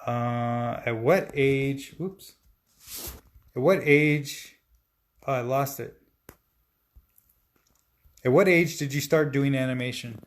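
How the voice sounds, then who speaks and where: A man in his forties, a stop-motion animator, answering viewer questions in a relaxed, chatty way with frequent fillers and restarts. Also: mid-range voice, slow, long pauses. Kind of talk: casual live-stream Q&A, reading viewer comments aloud.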